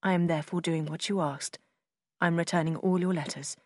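A woman voicing a cold, formal young gentleman as she reads his letter in an audiobook, with a detached manner. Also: fairly low pitch, fairly even intonation, a little fast, dry recording, clean studio sound, pitched below the performer's own voice, lightly acted. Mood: cool, formal.

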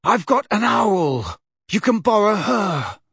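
A breathless, exasperated teenage boy, as a narrator voices him in an audiobook. He pants between phrases, slightly higher and husky. Dry, close recording. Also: sing-song, normal speed, no room sound. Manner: panting, exasperated. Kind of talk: audiobook character voice.

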